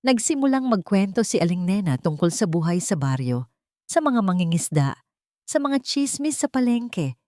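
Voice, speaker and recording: A woman's voice: mid-range voice, sing-song, moderate pace, a little mellow, no room sound, clean studio sound. A woman reading a scripted romance story as a dramatic narrator.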